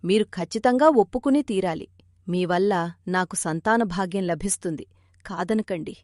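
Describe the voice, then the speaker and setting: A woman playing a gentle, self-sacrificing village wife in a children's moral cartoon, speaking earnestly. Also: lowish voice, moderate pace, no room sound, clean studio sound, close to an everyday voice. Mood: insistent, sorrowful.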